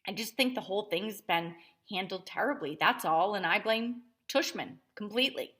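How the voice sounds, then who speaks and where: A woman's voice: medium pitch, moderate pace, bright, forceful tone, lightly acted. A woman reading a children's novel aloud, voicing an indignant, self-righteous mother who complains emphatically. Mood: aggrieved. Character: indignant self-righteous mother rallying other school moms.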